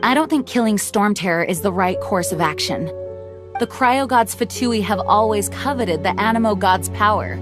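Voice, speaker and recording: A woman voicing a dignified, earnest young knight commander in a video game, speaking in a formal, measured way. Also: mid-range voice, lightly acted. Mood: serious. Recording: music behind the voice.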